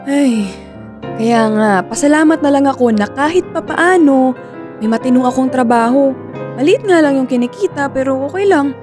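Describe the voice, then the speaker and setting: A woman's voice: high-pitched, animated, moderate pace, over music. A woman playing a concerned, principled young working daughter in a radio drama, earnest and questioning. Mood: frustrated, earnest.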